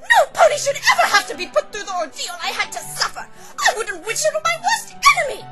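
A refined lady unicorn from a cartoon visual novel, in a very high, wildly swooping voice. She is genteel and affected, quick to outrage and grandly dramatic, with music playing underneath. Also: wildly swooping pitch, full character voice. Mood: indignant, wailing. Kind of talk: fan-dub visual novel character acting.

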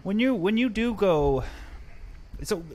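A man in his thirties hosts a casual podcast from a studio lined with fish tanks. He speaks into a boom mic in an easygoing, joking way. His talk wanders a little as he sets up questions. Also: lively intonation, slow pace, darkish tone. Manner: chatty, joking, slightly rambling. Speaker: podcast host. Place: home studio with aquariums, indoors. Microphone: boom mic with headphones.

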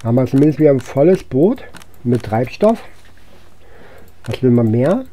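A man's voice: medium pitch, big pitch swings, moderate pace, mellow. A man who sounds a bit under the weather, giving casual let's play commentary in short, muttered fragments to himself. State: unwell, recovering from illness. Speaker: gaming YouTuber.

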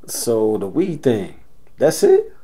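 A man's voice: medium pitch, huge pitch swings, takes it slowly, dark tone. A man playing a sheepish, deferential American grandson in his late thirties, hesitant and defensive in an audio drama.

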